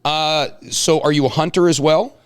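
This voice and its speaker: A man's voice: on the high side, steady tone, normal speed, clean tone. Casual middle-aged man hosting a chat. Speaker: show host. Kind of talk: casual chat, interviewing and telling anecdotes.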